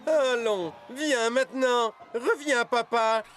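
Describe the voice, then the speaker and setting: A man's voice: very high-pitched, takes it slowly. A man playing a scheming, easily flustered cartoon villain, theatrical and wheedling. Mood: flustered, coaxing. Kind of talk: dubbed cartoon dialogue. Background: music.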